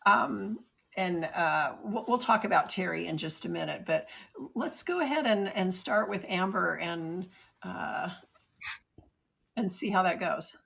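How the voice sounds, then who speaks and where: A woman's voice: lowish voice, animated, a little slow, vocal fry, a bit bright, husky. A woman hosting a live online program on a video call, friendly and organizing, with many ums. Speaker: host.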